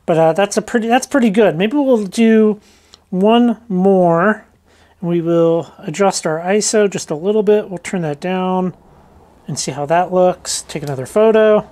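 A woman's voice: fairly low pitch, unhurried, warm tone. A woman vlogger giving a casual hands-on demonstration, informally thinking aloud as she goes. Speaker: rockhounding vlogger.

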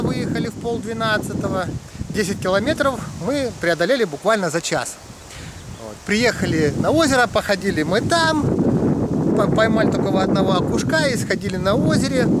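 Guy in his 30s vlogging his fishing trip by a lake, highish lively voice, lots of fillers, loud wind on the mic. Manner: chatty, explaining with lots of fillers. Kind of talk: casual outdoor vlog. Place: outdoors by a northern lake. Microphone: head-mounted action camera. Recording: loud wind noise outdoors.

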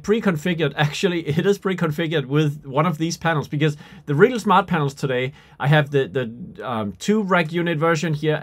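A man in his forties with a somewhat high-pitched voice presents an unscripted tech tutorial. He thinks aloud as he works, pausing and picking up again while he explains each step. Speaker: product demonstrator. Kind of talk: unscripted software tutorial and product demo.

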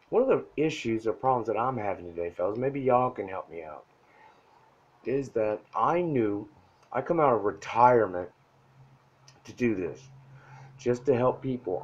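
A soft-spoken man talks straight at the listener, blunt and profane, pushing people to reach out for help. His voice sits at an ordinary middle pitch. The sound is dull and lo-fi, like a phone camera mic in a room. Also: slightly rough. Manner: blunt, profane, pushy pep talk. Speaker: self-help vlogger. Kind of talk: casual motivational talk to camera. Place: indoor room at a whiteboard.

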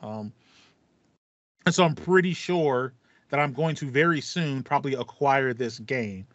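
A man's voice: mid-range voice, animated, measured pace, fairly bright tone, no echo. Dry-sounding American man, chatty podcast host. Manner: chatty, playful, slangy. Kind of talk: casual gaming podcast chat. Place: small home room with acoustic foam, indoors. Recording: slightly dull, dry home recording.

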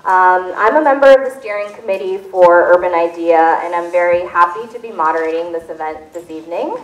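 A woman in her forties whose first language is Tagalog, moderating a community panel on a handheld mic and reading measured remarks from notes. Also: on the low side, far from the mic. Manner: clear, measured, reads from notes. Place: community center hall with audience. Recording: echoey hall, voice a bit off-mic.

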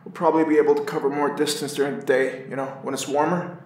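An actor playing a sardonic, anxious, foul-mouthed young male survivor who narrates in first person for an audio drama, often halting and stammering. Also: on the high side, flat delivery, darkish tone, echoey room, lightly acted, poor audio. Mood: nervous, hesitant.

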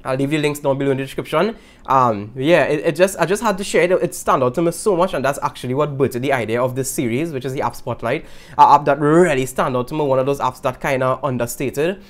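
A young man with a Trinidadian accent, hosting a casual video and talking to camera in an animated way from a small room. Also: mid-range voice, quick pace. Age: young adult. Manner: animated, gesturing, laughing asides. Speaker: YouTube host. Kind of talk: casual vlog talk to camera. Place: small indoor room with guitars.

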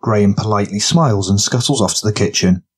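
Male narrator, lowish pitch, scripted fiction, audiobook reading. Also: fast talker, husky.

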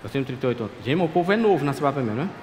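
A pastor in his thirties preaching a sermon at a pulpit, passionate and pleading, dropping to quiet asides. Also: medium pitch, expressive, rapid-fire, a little hiss or hum. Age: 30s. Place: large dark church stage at a pulpit. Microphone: stand mic at a clear pulpit. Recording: echoey church hall, faint ambience.

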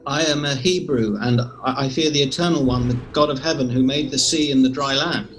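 Guy doing earnest prophet praying, solemn, middling pitch, scripture drama. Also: fairly even intonation, a little fast, fairly bright tone, a little hiss or hum, close to an everyday voice, rough recording. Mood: resigned. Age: 30s. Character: Jonah, earnest distressed prophet in prayer. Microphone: video call.

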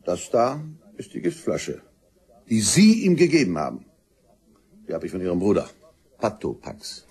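Deep, gravelly police inspector. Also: male voice, huge pitch swings, normal speed, pauses often, darkish tone, heavily acted. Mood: matter-of-fact. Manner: dry, matter-of-fact, authoritative. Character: stern, methodical male police detective inspector. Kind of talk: German crime radio play acting.

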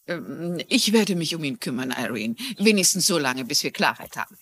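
A woman's voice: deep voice, lively intonation, normal speed, a bit bright, breathy edge, heavily acted. An actress playing a shrewd, kindly older woman sleuth in a TV detective drama, probing with reasoned questions. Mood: gentle. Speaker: actress in a dubbed crime drama. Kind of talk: German-dubbed TV detective drama acting.

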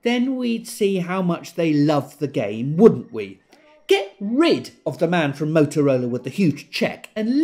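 An actor, a man, playing an exasperated, scornful crank in an audio drama, ranting loudly in clipped, emphatic phrases. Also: high voice, exaggerated voice. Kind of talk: audio drama comic rant.

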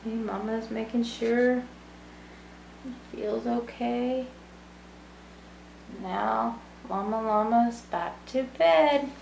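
A woman in her thirties reads a picture book aloud to young children in simple, child-directed talk. Her voice sits a little high and she takes her time. The room is echoey, with faint noise behind her. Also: extremely slow, creaky, long pauses, a little mellow. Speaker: student volunteer reading a picture book to children. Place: small room with brick wall, indoors.

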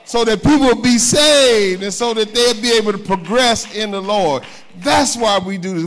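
A middle-aged man preaches in a church, talking to the congregation. His voice sits a little higher than most men's. He speaks into a handheld microphone and keeps turning to the congregation with asides. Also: fairly bright tone. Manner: conversational preaching with call-and-response asides. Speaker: pastor. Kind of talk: sermon. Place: church sanctuary, indoors.

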